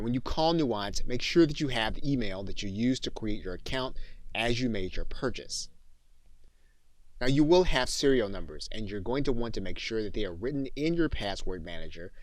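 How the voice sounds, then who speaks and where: A man's voice: on the low side, no echo. A man narrating a scripted tutorial as a course instructor, in a plain, instructional, step-by-step manner.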